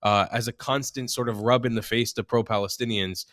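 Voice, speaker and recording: A man from Israel speaks as a journalist guest over a video call. He argues passionately and leans on rhetorical questions. His voice sits at a middle pitch with a dry, close sound. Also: steady tone, a little fast, fairly bright tone, no echo. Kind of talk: casual interview chat.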